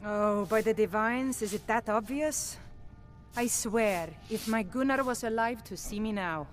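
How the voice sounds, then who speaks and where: A woman's voice: fairly high pitch, unhurried, faint music behind, natural voice. A woman playing a worried widowed shopkeeper who is down on her luck, in fantasy video game dialogue. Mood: anxious pleading.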